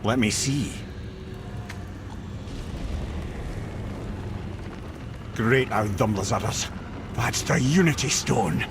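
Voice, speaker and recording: A man's voice: medium pitch, a little slow, noisy background, close to an everyday voice. An actor with a Scottish accent playing a witty, learned, talkative advisor in a video game, wry and eloquent in his delivery. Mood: intrigued. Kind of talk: video game cinematic acting.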